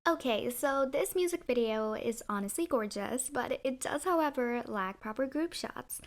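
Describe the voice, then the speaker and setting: A woman with a high voice that has gone hoarse, talking through a scripted voice-over. She rates things with playful, sarcastic jabs and strong opinions. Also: lively intonation, normal speed, soft background music. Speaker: YouTuber reviewing music video thumbnails.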